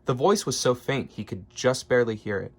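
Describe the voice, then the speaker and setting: A man's voice: mid-range voice, animated, a little fast. Man reading a rhyming storybook. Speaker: storybook reader. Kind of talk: expressive read-aloud of rhyming children's story.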